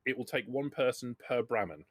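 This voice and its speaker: Game master, dry close sound. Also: male voice, medium pitch, expressive, a little fast, no echo. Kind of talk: explaining game rules conversationally.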